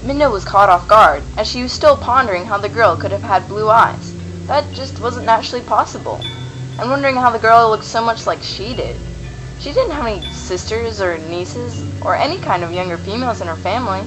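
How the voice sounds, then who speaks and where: A woman's voice: medium pitch, expressive, fairly quick, low-quality recording. A woman reading scripted narration for a fantasy radio play. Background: music.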